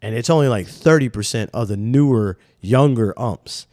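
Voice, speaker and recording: A man's voice: medium pitch, normal speed, no echo, studio quality. A young man with a slightly nasal voice, hosting a sports podcast in loose, rambling casual talk. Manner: loose, animated, rambling with slang.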